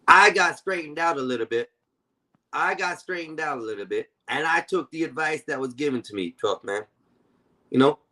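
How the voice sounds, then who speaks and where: A man's voice: a little high, sharp tone. Blunt, combative man in his forties. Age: 40s. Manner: blunt and confrontational, swears freely. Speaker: stream host. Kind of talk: heated live-stream panel talk.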